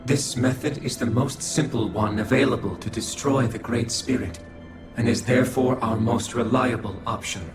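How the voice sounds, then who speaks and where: A man playing a calm, coldly logical alien observer in a video game, speaking formally and dispassionately. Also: mid-range voice, lightly acted. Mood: detached, formal. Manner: formal, measured, dispassionate delivery. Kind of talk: scripted video game cutscene acting. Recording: echoing voice over background music.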